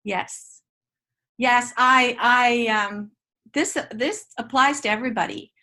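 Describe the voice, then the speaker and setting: A woman's voice: fairly high pitch, slow pace, bright, forceful tone. An older woman, a Dutch native speaker from Canada, talks about her teaching work in an interview in an earnest, reflective way, often restarting her sentences. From Ontario, Canada. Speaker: guest educator.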